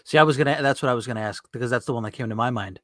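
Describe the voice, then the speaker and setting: A man's voice: mid-range voice, very fast, fairly bright tone, no room sound, studio quality. A man co-hosting a podcast in casual chat, talking in an informal, conversational way. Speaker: podcast co-host.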